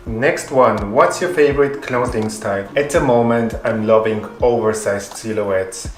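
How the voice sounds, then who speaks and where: Calm man in his twenties, roomy sound. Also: fairly low pitch, a little slow. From Germany. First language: Spanish. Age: 20s. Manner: reads each question aloud, then answers in a calm, even way. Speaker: fashion YouTuber. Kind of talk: Q&A vlog narration.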